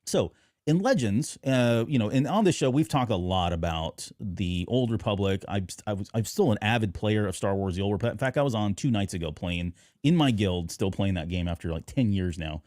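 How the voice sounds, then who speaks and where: A man's voice: on the low side, animated, brisk, darkish tone, no room sound, clean studio sound. A middle-aged man hosting a podcast, chatting casually and informally, often doubling back on the phrasing. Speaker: podcast host.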